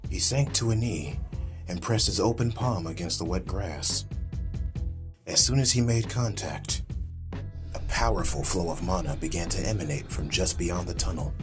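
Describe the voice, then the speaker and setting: A man narrates a dramatic audiobook from a script. His voice is deep, and music plays clearly behind it.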